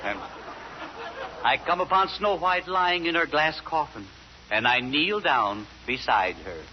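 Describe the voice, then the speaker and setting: A man with a fairly high, lively voice, telling a story with earnest flourish as the straight man of a comedy act. It comes through as a dull, lo-fi old radio broadcast with a light hiss. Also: measured pace. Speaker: comedy host.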